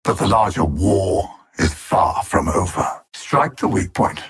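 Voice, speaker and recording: An actor playing an arrogant alien supervillain in a video game, coldly menacing and theatrical, with haughty, condescending, precisely worded speech. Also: male voice, low voice, measured pace, a bit bright, breathy edge, full character voice. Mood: gloating threat. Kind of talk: scripted video game villain lines.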